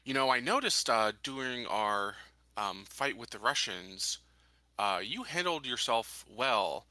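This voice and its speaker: A man playing a stern, suspicious colony official who questions in a probing, deliberate way. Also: mid-range voice, lively intonation, bright, forceful tone, no room sound.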